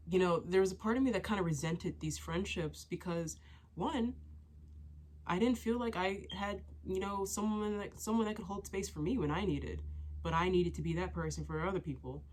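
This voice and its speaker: A young woman with a low voice talks openly and at length to her viewers. She is getting over a cold, so she sounds stuffy and sniffles now and then. Her sentences ramble, full of "like" and "you know" and restarts. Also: a little hiss or hum. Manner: rambling and candid, many fillers and restarts. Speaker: vlogger. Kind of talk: casual chat to camera.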